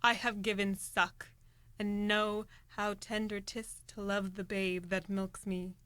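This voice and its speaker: A woman's voice: mid-range voice, little pitch movement, slow pace, a bit bright, no room sound. A young woman acting a ruthless, ambitious noblewoman who goads in emphatic Shakespearean verse for a student radio play. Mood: fierce, goading. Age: young adult. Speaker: student actor.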